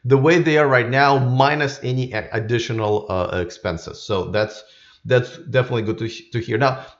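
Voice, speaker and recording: A man's voice: medium pitch, moderate pace, lo-fi sound. A man hosting a live stream with casual, unscripted commentary, rambling and often repeating his words as he goes. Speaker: YouTube live stream host.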